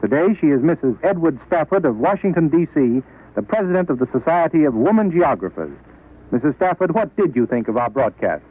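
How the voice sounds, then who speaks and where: A man's voice: on the high side, very animated, a little fast, husky. A man interviewing in a formal, polished 1940s radio style on a tinny vintage recording.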